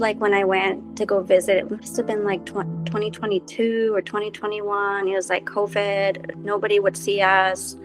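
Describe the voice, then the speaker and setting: A woman's voice: medium pitch, poor audio. Woman, from Costa Rica, reflective, meandering, casual panel talk, faint music. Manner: meandering, storytelling, gentle. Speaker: helping professional on a panel.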